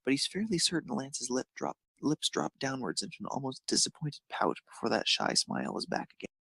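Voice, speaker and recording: A man's voice: mid-range voice, huge pitch swings, a little fast, vocal fry, bright, forceful tone, husky, no room sound. A man narrating a scripted piece of fan fiction as a storyteller.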